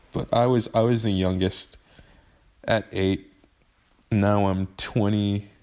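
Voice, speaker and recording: A man of twenty-one talks to camera in a halting, emotional way, often restarting his sentences as he vents about growing up. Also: on the low side, steady tone, darkish tone. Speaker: vlogger. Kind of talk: personal venting monologue.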